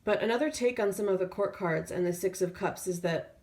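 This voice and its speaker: A woman tarot reader speaking off the cuff in an intuitive reading, feeling her way through phrases with drawn-out pauses. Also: mid-range voice, a little mellow.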